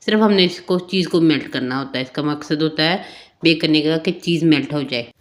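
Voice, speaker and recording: Woman, Pakistani Urdu accent, slightly hoarse throat, lowish voice, homely cooking tutorial, chatty step-by-step. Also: a little fast. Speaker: cooking channel host. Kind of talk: home cooking tutorial voice-over.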